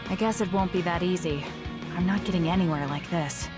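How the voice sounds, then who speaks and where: A woman's voice: fairly low pitch, fairly quick, music in the background, lightly acted. A woman playing a determined, anguished young psychic soldier in a dramatic video game, speaking with grave, introspective resolve. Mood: grim resolve.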